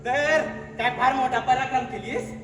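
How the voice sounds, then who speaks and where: A man's voice: extremely high pitch, normal speed. A man on stage playing a bitter, greedy village husband who berates and mocks his wife. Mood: contemptuous. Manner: harsh, mocking, berating. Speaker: stage actor. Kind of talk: stage acting in a one-act play. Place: theatre stage. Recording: echoey hall, far mic, music.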